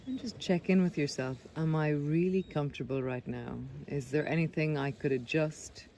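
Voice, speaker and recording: Higher-voiced man guiding meditation over sea sounds. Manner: gentle, unhurried instructing with pauses. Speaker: meditation guide, breath coach. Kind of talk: guided body scan meditation. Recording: clear background noise of sea sounds.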